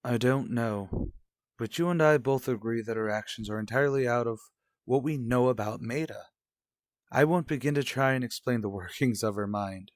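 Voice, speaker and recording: A man's voice: medium pitch, dry recording, close to an everyday voice. Man playing a guarded, formal radio-soap husband, close dry sound. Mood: skeptical, guarded. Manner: formal, measured, skeptical. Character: stern, guarded husband and father in troubled marriage. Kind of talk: revived vintage radio soap drama acting.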